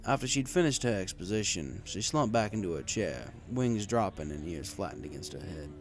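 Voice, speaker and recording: A man's voice: low voice, lively intonation, moderate pace. A man reading a first-person story in a wry, conversational way. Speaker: story reader. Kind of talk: first-person story narration with acted dialogue.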